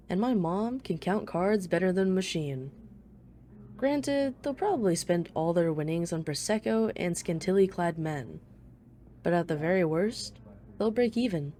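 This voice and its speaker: Woman playing a low, mellow, teasing female mafia boss. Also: a little hiss or hum. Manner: playful, smug, flirtatious banter. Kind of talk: ASMR roleplay, one-sided dialogue.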